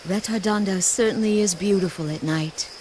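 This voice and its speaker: A woman's voice: medium pitch, normal speed, a little mellow, slight background noise, natural voice. A woman playing a caring, reassuring adult woman in a video game cutscene. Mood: tender, reassuring.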